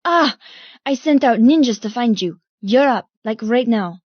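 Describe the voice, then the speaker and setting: A woman's voice: on the high side, animated, measured pace, dry recording, muffled recording. A woman voicing a frantic, bossy young female fashion show organizer in an audiobook, urgent in manner. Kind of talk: audiobook character dialogue.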